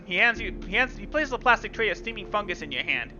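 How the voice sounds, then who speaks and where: High-voiced man reading game text. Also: sing-song, fast talker. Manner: dramatic reading with casual asides. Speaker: gaming video host. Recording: dull lo-fi sound with game music.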